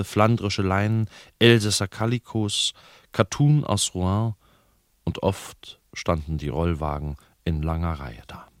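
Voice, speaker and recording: Deep-voiced male narrator, dry studio. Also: no echo. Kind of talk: scripted narration of a novel excerpt.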